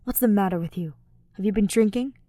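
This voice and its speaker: A woman's voice: medium pitch, fairly quick, dark tone, dry recording, close to an everyday voice. Woman as young male fighter, curt, grumpy, impatient, scoffing, audiobook dialogue, dry recording. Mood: exasperated. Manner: brusque, scoffing, disdainful. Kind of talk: fan fiction audiobook character voice.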